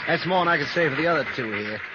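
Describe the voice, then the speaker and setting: A man's voice: fairly high pitch, expressive, racing, natural voice. Man playing a testy western traveler, noisy old radio. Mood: nosy, needling. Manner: prying, combative, rough frontier speech. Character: testy, nosy, quarrelsome stagecoach passenger. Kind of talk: 1950s radio western acting. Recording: noisy, muffled 1950s radio recording.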